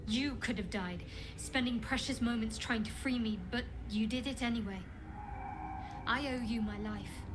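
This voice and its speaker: A woman playing a secretive, devout young cleric in a fantasy game, with a measured, guarded and earnest delivery. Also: a little high, close to an everyday voice. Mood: earnest, grateful. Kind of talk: dramatic fantasy game acting. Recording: clear background ambient noise.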